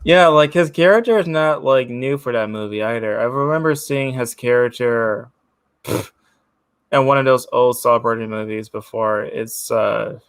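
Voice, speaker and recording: A man's voice: medium pitch, moderate pace, clear, resonant tone, no echo. A man hosting a casual chat about martial arts movies, talking loosely with lots of fillers and asking questions. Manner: chatty, lots of "like", laughs easily. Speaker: host.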